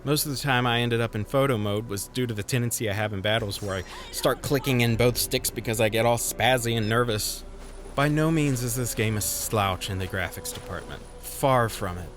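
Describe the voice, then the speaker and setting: A man of middle pitch narrates a video game review over faint background music. He reads from a script but stays conversational and wry, dropping self-deprecating asides as he goes. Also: brisk, fairly bright tone.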